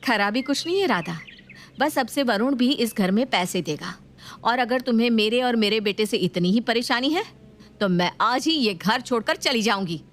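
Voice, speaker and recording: A woman's voice: on the high side, lively intonation, normal speed, voice pushed up, natural voice. A woman voicing a proud, defiant widowed mother in a cartoon, speaking firmly and sharply. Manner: firm, sharp.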